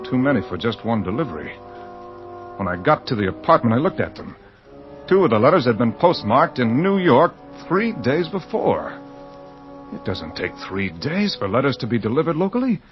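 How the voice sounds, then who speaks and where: A man's voice: mid-range voice, big pitch swings, moderate pace, lightly acted. Man acting a bewildered, brooding young American amnesiac, suspense radio narrator, lo-fi vintage sound, music underneath. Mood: brooding, uneasy. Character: bewildered, anxious amnesiac young male mystery writer. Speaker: lead actor and narrator. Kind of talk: old-time radio drama acting, first-person suspense narration. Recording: dull old radio recording, music behind.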